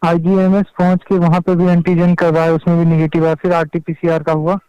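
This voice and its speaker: Male phone interviewee, Bihari accent, high clear voice, plain chronological telling, dry muffled call audio. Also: completely flat delivery, a little fast, mellow, no echo. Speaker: personal assistant to a politician, interviewee. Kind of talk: recounting events on a phone call. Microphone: telephone.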